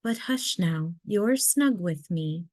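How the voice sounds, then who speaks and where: A woman voicing a gentle, reassuring mother polar bear from a storybook, soothing and unhurried. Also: lowish voice, lively intonation, no echo. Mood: hushed, soothing.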